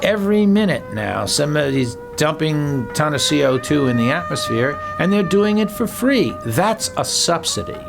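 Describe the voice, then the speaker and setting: A man's voice: fairly high pitch, big pitch swings, moderate pace, gravelly, hardly any pauses. A man speaking as an expert in a documentary interview, emphatic and plain-spoken. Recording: music laid over the interview.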